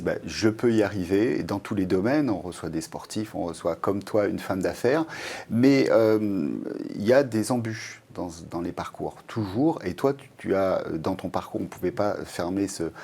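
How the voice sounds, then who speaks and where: A man's voice: fairly low pitch, expressive, normal speed, warm tone, husky. A man hosting a TV interview, asking questions in a friendly, chatty way with many fillers. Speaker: TV host. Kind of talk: conversational interview.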